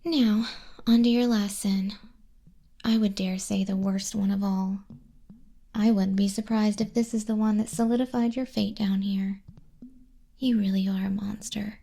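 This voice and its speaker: A smug demon clerk from hell's front desk, played by a woman, speaks in a hushed ASMR murmur that often drops to a whisper. The voice is husky and mellow with a creaky edge. She is condescending and teasing, with the dry patience of a bureaucrat. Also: medium pitch, steady tone, measured pace. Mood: smug, scolding. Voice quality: soft, breathy, husky. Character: smug female demon clerk registering sinners in hell. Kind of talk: ASMR roleplay, soft-spoken and whispered.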